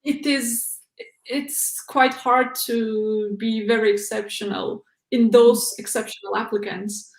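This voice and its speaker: A woman's voice: on the high side, fairly even intonation, reverberant. A young woman from Uzbekistan, a non-native English speaker, talking as a guest in a casual interview with many fillers and restarts. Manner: halting, frequent "like" and "uh" fillers. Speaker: interview guest, PhD researcher.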